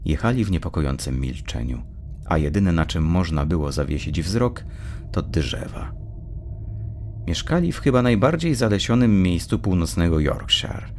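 A man reading scripted narration of a dark story as an audiobook narrator. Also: deep voice, lively intonation, moderate pace, a little hiss or hum.

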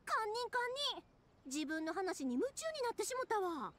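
A woman voicing a bubbly, warm-hearted young craftswoman with a Kansai accent in an anime game. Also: extremely high pitch, very animated, moderate pace. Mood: cheerful, eager. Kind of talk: Japanese anime game voice acting.

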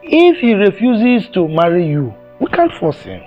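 An actor playing a family elder who reasons and reproaches in turn. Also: male voice, high-pitched, huge pitch swings, a little slow, lo-fi sound, faint music behind, exaggerated voice. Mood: reproachful.

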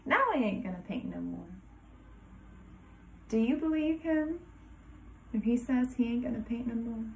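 A woman kindergarten teacher reading a rhyming picture book aloud to young children in a sing-song way, pausing dramatically before rhymes and asking questions. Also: a little high, lively intonation, gravelly, a little mellow, poor audio.

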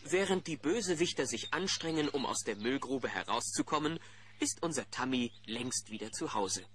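A man's voice: mid-range voice, animated, moderate pace, a bit bright, a little hiss or hum, lightly acted. A man playing a chummy narrator, telling a scripted story to young listeners in a children's audio play. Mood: storytelling.

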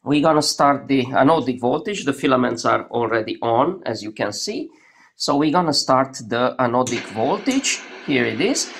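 A man giving a casual, improvised demonstration as a hobbyist presenter, halting as he searches for words, recorded on a phone at an indoor workbench. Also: mid-range voice, creaky, fairly bright tone, a little hiss or hum. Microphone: phone held over the workbench.

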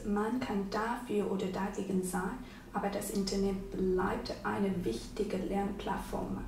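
Distant, echoey young woman, slightly slow. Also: mid-range voice, steady tone, a little mellow. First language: Chinese. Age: young adult. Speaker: language student. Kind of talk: speaking in an online lesson. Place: indoor room by a window, at a laptop. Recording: distant, echoey room with background noise.

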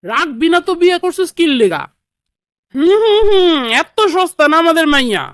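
Scolding cartoon mother-in-law woman actor: high, dry sound, Bangladeshi accent, fierce and combative. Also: big pitch swings, normal speed, no room sound. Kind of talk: comic cartoon dubbing.

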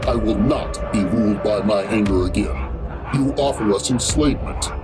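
An actor playing a defiant, principled warrior brother in an audio drama, firm, earnest and restrained. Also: male voice, fairly low pitch, moderate pace, close to an everyday voice. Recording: loud music under the voice.

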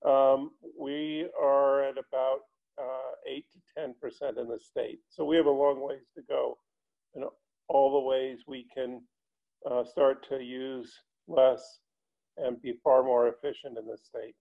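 Older man, flat voice, lo-fi call. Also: mid-range voice, measured pace, gravelly. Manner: steady, explanatory, frequent "um". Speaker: panelist. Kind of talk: casual panel answer. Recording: dull lo-fi video call audio.

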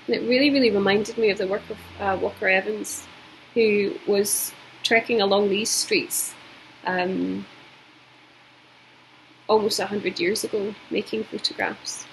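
A Scottish woman in her forties talks through an art lesson into her webcam at home, measured and thoughtful, pausing on plenty of ums. Her pitch sits in an ordinary middle range. A faint hiss sits under the recording. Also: fairly even intonation, rough recording. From Scotland. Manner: reflective, measured, with many ums. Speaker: art teacher. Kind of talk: recorded video lecture. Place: indoors at home.

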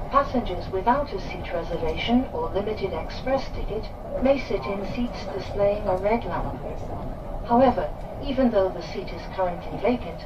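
Slow-paced female train announcer. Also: lo-fi sound. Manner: formal, measured, polite. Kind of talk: scripted onboard announcement. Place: played inside a moving train. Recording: distant train speaker, clear rumble. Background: train noise.

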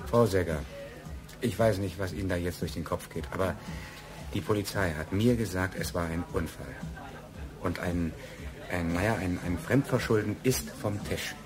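An actor playing a gruff, impatient office man and father, brusque in a German radio crime drama. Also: deep voice, normal speed, music in the background, close to an everyday voice. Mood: cautious. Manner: brusque, exasperated.

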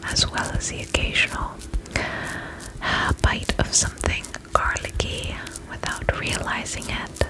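A young woman playing a gentle vampire spa attendant in a soothing, breathy ASMR whisper, slow and polite, close to the microphone. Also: close to an everyday voice, rough recording. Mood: soothing, attentive. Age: young adult.

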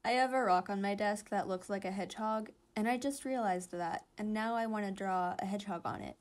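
A woman's voice: medium pitch, brisk. A young woman casually narrating and commenting on fan comics in a gushing, giggly, informal way.

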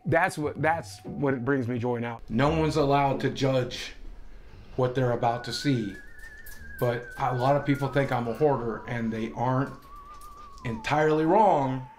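A man's voice: medium pitch, huge pitch swings, a little slow, a little mellow, a little hiss or hum. A middle-aged man talking casually and candidly to camera, in a self-deprecating way, as he shows people around a house. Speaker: online video creator giving a house tour. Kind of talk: casual talk to camera, walking through a house. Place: rooms of a house, indoors. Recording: music playing behind the voice. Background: background music.

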